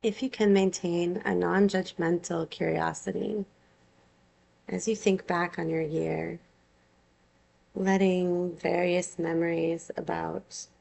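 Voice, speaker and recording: A woman leads a guided meditation in a fairly low voice with a lot of vocal fry. She speaks very slowly, in soothing phrases with long pauses, as a yoga nidra teacher giving gentle instructions. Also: poor audio.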